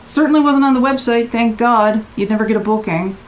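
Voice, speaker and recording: An actress playing a blunt, exasperated rental guest in an audio drama, with emphatic, clipped phrases. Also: female voice, fairly high pitch, brisk, slight background noise, close to an everyday voice. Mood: incredulous, firm. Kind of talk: naturalistic audio drama acting.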